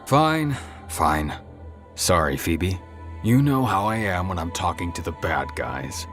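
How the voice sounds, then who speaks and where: A man's voice: sits low, expressive, takes it slowly, fairly bright tone, faint music behind, heavily acted. A man playing a cocky, crude, flamboyant antihero god in an audio drama, swaggering, sarcastic and teasing. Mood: playful. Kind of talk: audio drama character acting.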